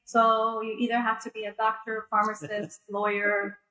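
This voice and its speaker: A woman who grew up in the US talks over a video call. Her tone is bright and a little tense, and her pitch stays steady and flat. She answers in a measured way and fills her pauses with "um" and "uh". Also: rough recording. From Egypt, raised in the US. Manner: measured, with frequent fillers. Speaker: guest speaker. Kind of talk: online interview answers.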